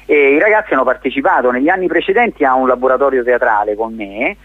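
A man's voice: mid-range voice, quick pace, dry recording. Casting: male theatre director as radio interviewee, explanatory and articulate with hesitations, dry, narrow phone-like sound. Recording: thin phone-like radio line.

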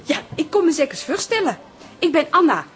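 An actress playing a bubbly, brash young seamstress with a southern Dutch Brabant accent, gushing and informal, in a radio drama. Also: female voice, high-pitched, sing-song, brisk, noisy background. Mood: cheery, curious. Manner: gushing, informal, provincial slang. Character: bubbly, brash young seamstress newly arrived, slangy and chummy. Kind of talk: modern radio drama acting.

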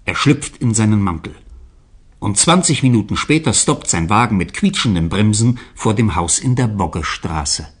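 A man's voice: on the low side, lively intonation, normal speed, husky. A man narrating a radio drama as a scripted, dramatic storyteller.

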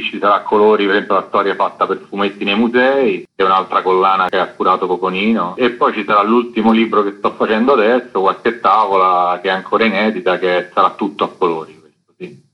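Low-voiced middle-aged man, muffled sound. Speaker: interview guest, illustrator and comics author. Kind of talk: informal radio interview. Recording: dull lo-fi radio sound.

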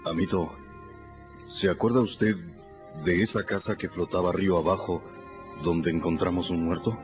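An actor playing a gentle, devoted older freed slave who speaks softly, humbly and respectfully, in a radio drama. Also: male voice, sits low, steady tone, moderate pace, natural voice. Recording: muffled radio play with music.

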